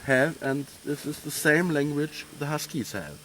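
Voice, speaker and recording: A man, a husky keeper who speaks halting, hesitant English with many pauses, outdoors in the cold. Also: fairly high pitch, lively intonation, a bit bright, poor audio. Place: outdoors in snowy cold country. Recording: faint outdoor noise.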